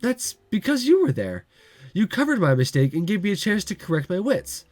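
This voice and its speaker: A man's voice: high voice, sing-song, normal speed, a little mellow, dry recording. A man voicing a cheerful, tough young woman who works at a café, reading a visual novel aloud. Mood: chirpy.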